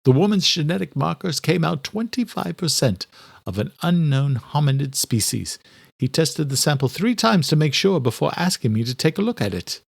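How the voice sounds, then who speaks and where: A man voicing an elderly scholarly doctor in an audiobook, courteous and direct, with formal, deliberate, old-fashioned phrasing. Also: fairly high pitch, very animated, creaky, no room sound, studio quality, pitched above the performer's own voice, full character voice. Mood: earnest, regretful. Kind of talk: audiobook character dialogue.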